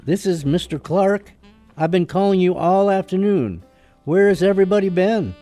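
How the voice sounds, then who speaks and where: Man playing a feisty lawyer, soft dark tone. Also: high-pitched, animated, moderate pace, soft background music. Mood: concerned. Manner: argumentative, sardonic. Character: feisty, wry lawyer son, stubborn and combative. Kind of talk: radio drama acting.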